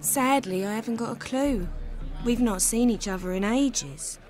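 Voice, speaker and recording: A woman's voice: on the high side, measured pace, a little mellow, slight background noise, natural voice. A woman with a British accent playing a naive, chatty, lovestruck young maidservant in a video game. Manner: chatty, wide-eyed, rustic grammar.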